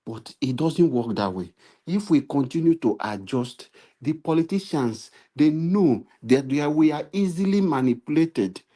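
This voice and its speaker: Impassioned Nigerian man, mellow voice. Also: on the high side, expressive, moderate pace. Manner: preachy, rising to emphatic appeals and repetition. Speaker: political commentator. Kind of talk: impassioned political commentary. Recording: live stream.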